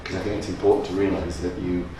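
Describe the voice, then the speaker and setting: A low-voiced man asking questions at a gallery talk, restarting his sentences as he thinks aloud. He sounds far from the mic in a very echoey room, with clear background noise. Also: level tone. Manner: halting restarts, thoughtful. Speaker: interviewer. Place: gallery space.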